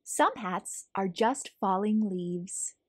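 A woman reading a children's picture book aloud as a storytelling host, in an expressive manner for young listeners. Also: medium pitch, slow pace. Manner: animated, clearly paced storytelling for young children.

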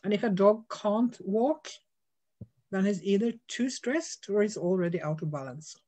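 A woman's voice: medium pitch, warm tone. A middle-aged woman from Norway with a Norwegian accent, speaking English as a second language, talking frankly and unhurriedly as a dog trainer in a video call interview from her home. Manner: frank, laughs easily, unhurried. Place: home room with shelves.

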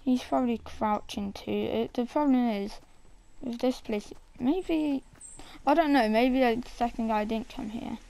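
A woman with a croaky, gravelly voice, coughing now and then, casually commenting on her own video game play. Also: fairly high pitch, measured pace, mellow, rough recording. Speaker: gameplay streamer.

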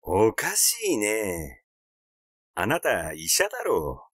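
Man acting needling amateur sleuth, storybook dialogue, casual, mocking, insinuating, swooping pitch, dry recording. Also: huge pitch swings, takes it slowly, a bit bright, dry recording, exaggerated voice. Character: blunt, teasing male amateur sleuth needling a doctor. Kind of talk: audiobook character dialogue.

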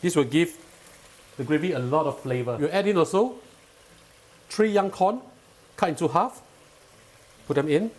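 Calm man teaching a home recipe. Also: a little high, moderate pace, long pauses. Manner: calm, patient and instructive, enthusiastic when tasting. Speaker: cooking show host. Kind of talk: step-by-step cooking instruction. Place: home kitchen, indoors.

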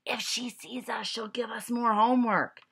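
A woman voicing excitable young schoolchildren in a storybook, exclaiming eagerly. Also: a little high, normal speed, breathy edge, voice pushed up, full character voice. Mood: anxious.

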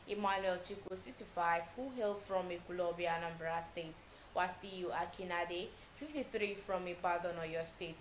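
A young Nigerian woman reading a news bulletin as a TV newsreader, steady and measured with small slips. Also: lowish voice, roomy sound, a little hiss or hum, low-quality recording. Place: TV news studio.

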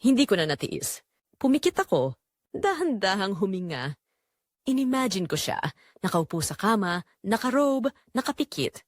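A woman's voice: medium pitch, sing-song, moderate pace, long pauses, dry recording, studio quality. A woman narrating a scripted first-person audio drama in short, dramatic, suggestive phrases.

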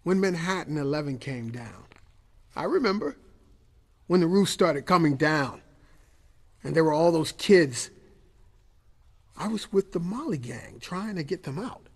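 A man acting in an audio drama as a weary, wounded, wry drifter with a husky voice. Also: a little high, lively intonation, measured pace, long pauses, exaggerated voice. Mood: pained, urgent. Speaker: actor.

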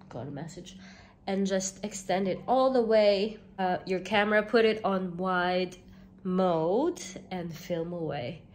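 A woman's voice: lowish voice, darkish tone. Woman, casual vlogger talking to camera, chatty, giggles between lines, faint hum behind. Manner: chatty, laughs between sentences. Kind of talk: casual vlog chat to camera. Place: small room indoors, seated in armchair.

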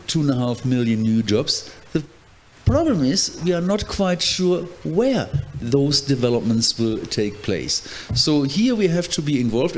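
A man in his fifties, a trade union panelist speaking as an English speaker, answering earnestly with many pauses and restarts into a handheld mic at a conference. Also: mid-range voice, darkish tone. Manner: hesitant, many fillers and restarts, earnest explaining. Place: conference hall, seated on a panel.

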